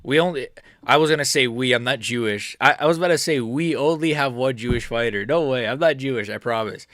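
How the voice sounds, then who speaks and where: A man's voice: mid-range voice, fairly quick, fairly bright tone, no echo. A man in his early twenties from the United States, chatting casually on a live stream, rambling with lots of fillers and laughs. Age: 20s. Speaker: MMA YouTuber streaming live. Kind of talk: casual live-stream chat reading chat questions.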